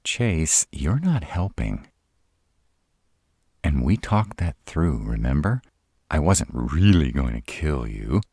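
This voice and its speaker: A man's voice: a bass voice, sing-song, slow, long pauses, mellow, slightly rough, no room sound, studio quality, voice pushed up, full character voice. A man playing a nervous, sarcastic male vampire in a roleplay audio, stammering and hesitant, easily exasperated. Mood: defensive, flustered.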